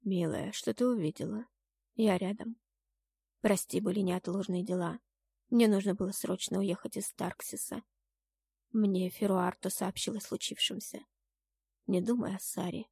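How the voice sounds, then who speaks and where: A reassuring man comforts his sweetheart in a fantasy audiobook, as a woman narrator plays him. He speaks softly, in a hushed and soothing way. The recording is close and dry. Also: medium pitch, steady tone, normal speed, vocal fry, pauses often, breathy edge, dry recording. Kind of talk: dramatic fantasy audiobook acting.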